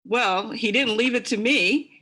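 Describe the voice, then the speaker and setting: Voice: medium pitch, unhurried, pushed and bright. An amateur actor playing a flippant, callous townsman gossiping in a church radio play. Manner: flippant, mocking.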